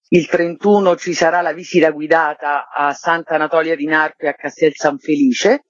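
A woman's voice: low-pitched, no room sound. A woman artistic director giving programme information as a guest in a radio interview. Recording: dull, narrow-band sound.

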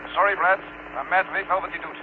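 An actor playing a genial, trusting general at mission control, hearty and encouraging through a radio filter in an old drama. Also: male voice, sits high, steady tone, a little fast. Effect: radio-link filter. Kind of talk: 1970 Dutch radio drama acting. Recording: tinny, noisy radio-link sound.

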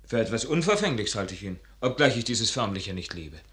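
An actor playing an idealistic, loyal young officer and son in a classical radio verse drama, with passionate theatrical declamation. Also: male voice, fairly low pitch, very animated, fairly quick, fairly bright tone. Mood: guarded, puzzled.

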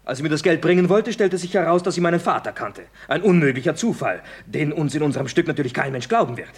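Young male playwright, radio comedy, swooping intonation, slightly husky, ironic, mock-courteous. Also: a little high, lively intonation, fast talker. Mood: breezy, ironic. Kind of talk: German radio comedy acting.

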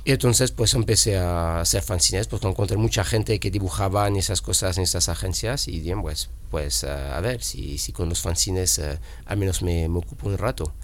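A man in his fifties with a French accent, a guest chatting casually in an interview, often pausing and restarting. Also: sits low. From France. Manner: halting, many restarts and fillers. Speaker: guest illustrator.

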